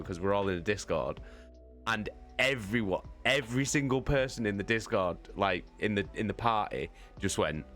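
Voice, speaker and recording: A man's voice: lowish voice, a bit bright. A man on a live stream animatedly telling a tabletop game story, swearing often and laughing mid-sentence. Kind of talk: casual anecdote on a live stream.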